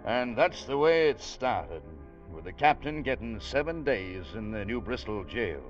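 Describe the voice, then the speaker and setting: An actor playing a wry, easygoing ship's officer, narrating in a folksy, conversational way in an old radio drama. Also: male voice, mid-range voice, very animated, measured pace, soft background music. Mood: wry, reminiscing. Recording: dull vintage radio recording.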